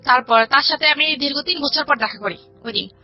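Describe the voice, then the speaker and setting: A woman's voice: high voice, fairly quick. A woman with a Bangladeshi accent tells a personal life story conversationally, recounting dialogue in detail. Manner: recounts dialogue in detail, quoting both sides. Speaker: guest telling her life story. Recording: dull lo-fi radio audio with music. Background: background music.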